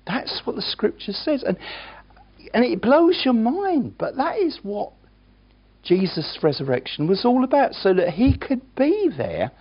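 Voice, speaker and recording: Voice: medium pitch, very animated, measured pace, slightly rough, muffled recording. A preacher delivering a sermon, emphatic and earnest, with chatty, self-deprecating asides to the congregation.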